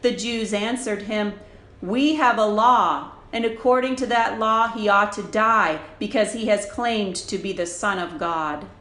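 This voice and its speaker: A woman reading scripture in a measured, narrative way, recorded with echoey room sound. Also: mid-range voice, sharp tone. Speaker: scripture reader.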